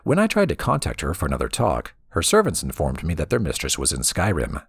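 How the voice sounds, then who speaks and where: A man reading a fantasy book aloud as expressive storybook narration over music. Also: extremely low pitch, fairly quick, gravelly, breathy edge, dry recording. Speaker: let's play host reading in-game books aloud.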